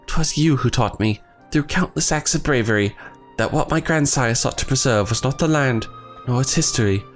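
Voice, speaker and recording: A man reading the lines of an earnest young male scholar in his own voice, with formal, archaic phrasing and a voice that is going hoarse. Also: medium pitch, normal speed, faint music behind, lightly acted. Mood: solemn. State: losing his voice.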